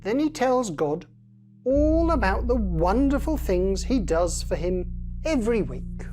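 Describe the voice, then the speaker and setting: A woman reading a children's story in a measured storytelling manner. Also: mid-range voice, big pitch swings, mellow, faint music behind. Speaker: story reader. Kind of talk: scripted children's story narration.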